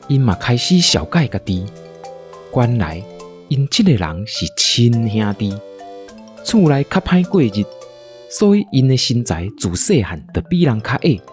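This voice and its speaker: A woman's voice: rumbling low, darkish tone. A woman with a Taiwanese accent hosting and narrating a playful scripted story. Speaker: podcast host and storyteller.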